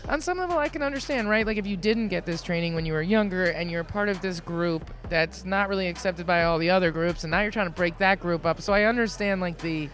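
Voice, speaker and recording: A woman hosting a podcast interview, talking casually and chattily with many fillers and restarts, her voice low for a woman. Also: fast talker, hardly any pauses. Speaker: podcast host.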